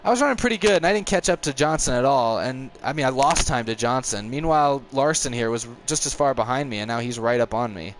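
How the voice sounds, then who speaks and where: A man's voice: medium pitch, slight background noise. Chatty nineteen-year-old guy streaming a race game. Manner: chatty, rambling, quick to frustration, chuckles. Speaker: gaming streamer. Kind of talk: casual live-stream commentary while racing.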